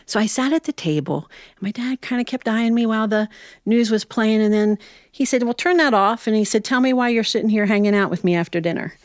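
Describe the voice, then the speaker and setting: A woman's voice: fairly high pitch, fairly quick, no echo. A middle-aged American woman, a storyteller telling a personal tale live to an audience. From Ohio, United States.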